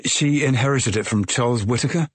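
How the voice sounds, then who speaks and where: A man's voice: mid-range voice, fairly quick, husky, no echo, pitched above the performer's own voice, close to an everyday voice. A man voicing a weary first-person traveller who speaks politely and insistently.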